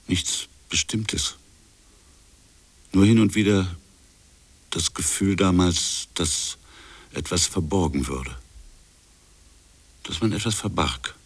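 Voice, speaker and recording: A brooding colonial official in an introspective radio play. His voice is very low and creaky, with a husky grain. He muses haltingly, in broken phrases, as if searching his memory. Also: full character voice. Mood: brooding, hesitant. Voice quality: gravelly, husky. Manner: halting, musing, fragmented phrases. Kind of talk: introspective radio drama monologue.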